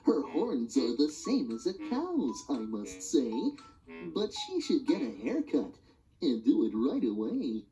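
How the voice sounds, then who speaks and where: A woman reading a rhyming children's animal alphabet book aloud in a sing-song, expressive way. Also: extremely low pitch, takes it slowly. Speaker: storybook reader. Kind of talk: children's read-along narration. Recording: dull lo-fi audio with music behind.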